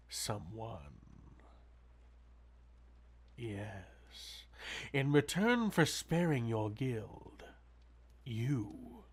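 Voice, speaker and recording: A man playing a sadistic, possessive vampire lord in an ASMR roleplay, with a silky, mocking, theatrical drawl and breathy, seductive touches. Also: fairly low pitch, full character voice. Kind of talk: ASMR audio roleplay, intimate dramatic monologue.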